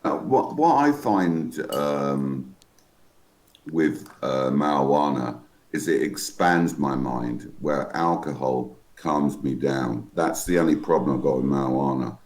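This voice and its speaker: A man in his fifties with a gruff voice, telling rambling stories in a casual online group chat. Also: a bass voice, expressive, takes it slowly, a little mellow. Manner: rambling, self-interrupting storyteller. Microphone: online call.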